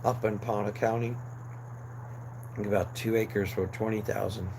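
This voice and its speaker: Low-voiced man, hoarse, chatting aimlessly. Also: moderate pace. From New Jersey, USA. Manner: rambling, relaxed, many fillers and restarts. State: losing his voice. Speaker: livestream host. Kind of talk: casual live chat. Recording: clear background noise.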